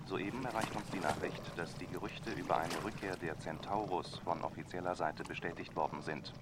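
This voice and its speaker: An actor playing a sober, authoritative radio newsreader with a measured, formal bulletin delivery. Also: male voice, deep voice, fairly even intonation, very noisy, natural voice. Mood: sober, urgent.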